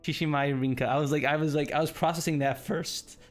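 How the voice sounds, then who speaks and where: A man hosting a casual playthrough, reading a visual novel's narration aloud and sometimes halting over unfamiliar words. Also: on the high side, fairly even intonation. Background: background game music.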